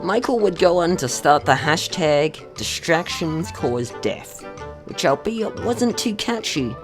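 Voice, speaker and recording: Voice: mid-range voice, animated, slow pace, music in the background. A young person narrating a scripted story in a mock-serious way. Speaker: video creator narrating own short film.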